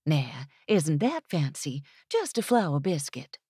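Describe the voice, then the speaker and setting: A woman voicing a warm older grandmother with a mellow, soothing tone and welcoming manner. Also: deep voice, very animated, slow, breathy edge, no echo, clean studio sound, exaggerated voice.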